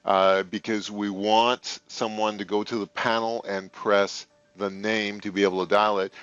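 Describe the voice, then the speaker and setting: Guy with a lowish voice doing a screen tutorial, plain and unscripted, bit of music behind him. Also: a bit bright, lo-fi sound. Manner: plain, informal, instructional, thinking aloud. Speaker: software tutorial presenter for a telecom retailer.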